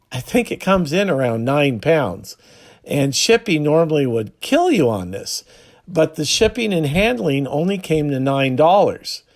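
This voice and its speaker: A man hosting a hobby video, explaining casually and unscripted, with halting pauses mid-sentence. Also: on the high side.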